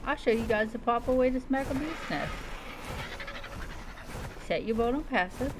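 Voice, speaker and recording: A woman's voice: a little high, moderate pace. Woman streaming a game over noisy ambience. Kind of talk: casual gameplay commentary with light roleplay. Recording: clearly noisy, background ambience.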